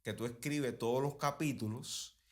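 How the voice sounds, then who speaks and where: A man's voice: medium pitch, moderate pace. Commentator, Cuban male, medium register, chatty and emphatic, digressive delivery. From Cuba. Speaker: commentator on radio and television soaps. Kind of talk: conversational commentary.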